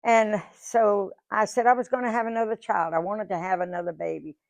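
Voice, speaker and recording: A woman's voice: mid-range voice, steady tone, brisk, gravelly, no echo. A woman in her nineties telling folksy stories of her life to a live audience, chatting and acting out what people said. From Georgia, USA. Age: 90s. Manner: folksy, chatty storyteller who acts out quoted dialogue. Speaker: guest speaker. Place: museum event room.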